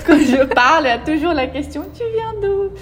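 A woman in her twenties from Brazil with a Brazilian Portuguese accent, telling her life story as a podcast guest with many pauses and fillers. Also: high voice, expressive, slight background noise. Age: 20s. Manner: hesitant, many fillers, laughs easily. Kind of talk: casual storytelling interview.

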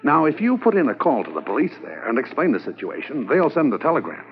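An actor playing a calm, confident undercover policeman in a 1950s radio drama. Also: medium pitch, quick pace. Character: undercover Canadian policeman posing as smuggler. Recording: dull old radio transcription, faint hiss.

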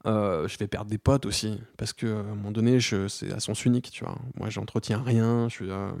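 A man in his thirties speaking candidly in an interview, slangy and halting, with many restarts and fillers. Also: on the low side, steady tone, gravelly, a little mellow, dry recording, clean studio sound. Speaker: guest.

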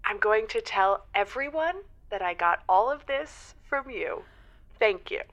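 A woman's voice: medium pitch, lively intonation, a little slow, a bit bright. A woman playing an earnest, eager caller with over-the-top romantic plans in an audio drama. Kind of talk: semi-improvised audio drama.

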